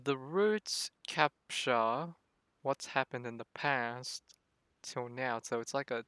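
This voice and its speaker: A man's voice: medium pitch, takes it slowly, pushed and bright, clean tone, no echo. A man streaming a game, making short, offhand, casual reactions into a close mic. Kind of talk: casual live gameplay reaction.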